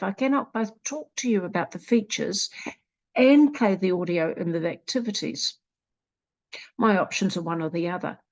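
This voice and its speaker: A woman with a New Zealand accent presenting a literacy program in a slow, deliberate walkthrough, sounding out letters one at a time. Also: mid-range voice, gravelly, poor audio. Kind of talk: screen-recorded software walkthrough.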